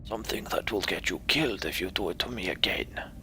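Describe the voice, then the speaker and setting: A man's voice: deep voice, animated, brisk, voice pushed down. A man voicing a grim male warrior who speaks in cold threats. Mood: menacing.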